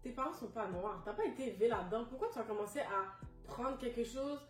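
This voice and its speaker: A woman's voice: fairly high pitch, quick pace. A young woman, speaking frankly and emphatically as a guest in a casual panel discussion. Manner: frank, emphatic, mixes English words. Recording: echoey room, distant mic, soft music.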